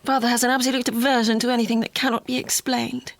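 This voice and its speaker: An actress playing a dreamy, impressionable young gentlewoman in a gothic audio drama, breathy and hesitant. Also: fairly high pitch, level tone, fast, no echo. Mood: excited, wondering.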